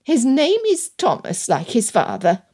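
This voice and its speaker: A woman voicing a fussy, indignant middle-aged Regency gentlewoman who scolds and sniffs, in an audiobook story. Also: fairly high pitch, huge pitch swings, measured pace, pitched above the performer's own voice, heavily acted. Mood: scolding, hushing.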